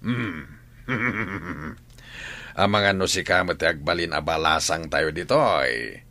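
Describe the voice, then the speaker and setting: An actor playing a bossy, swaggering gang leader in a radio drama, domineering and exclaiming. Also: male voice, sits low, slow, bright, forceful tone, full character voice. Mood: bossy, scornful.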